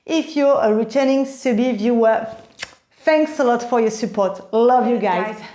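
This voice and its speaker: A woman's voice: on the high side, normal speed, warm tone, reverberant. A woman from France with a French accent, speaking English as a second language to her viewers in a chatty, enthusiastic unboxing vlog. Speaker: video host, handbag collector.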